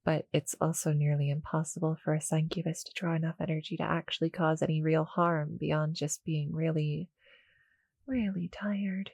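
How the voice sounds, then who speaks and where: A woman voicing a small, sweet female cuddle monster in an intimate ASMR roleplay, soft and breathy, often near a whisper, gentle and a little hesitant. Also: deep voice, steady tone, moderate pace, dry recording, clean studio sound, full character voice. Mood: gently explaining. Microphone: close, dry studio-style mic.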